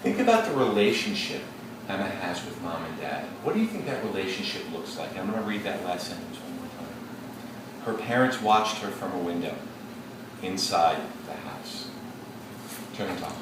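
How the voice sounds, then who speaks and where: Deep-voiced man reading aloud in an echoey classroom. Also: expressive. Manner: slow, deliberate storybook reading with pauses to think aloud. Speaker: teacher. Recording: echoey classroom from far off, background noise.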